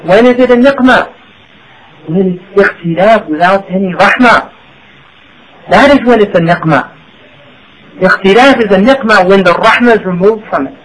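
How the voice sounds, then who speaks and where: A man's voice: high voice, normal speed, pauses often, a bit bright, rough recording. A man giving a religious lecture to an audience, asking rhetorical questions and checking in with "right?". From United States. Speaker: Islamic teacher.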